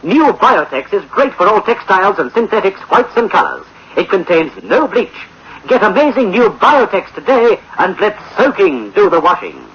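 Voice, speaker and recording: Male commercial announcer, 1960s radio ad, high and husky, scripted pitch, dull sound. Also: sing-song, normal speed, a little hiss or hum. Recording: dull old radio recording.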